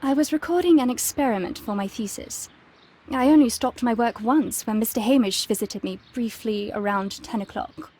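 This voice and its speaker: A woman acts a young lady researcher in a detective game. Her voice sits a little high. She answers with polite composure and careful precision. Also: normal speed, darkish tone, close to an everyday voice. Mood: polite, composed. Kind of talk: scripted game dialogue, giving testimony.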